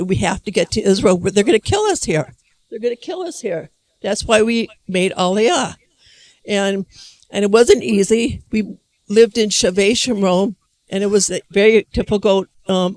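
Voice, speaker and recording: A woman's voice: mid-range voice, darkish tone. An older American woman giving a personal testimony at a conference, rambling and emotional with many restarts, speaking into a handheld microphone in a hall. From United States.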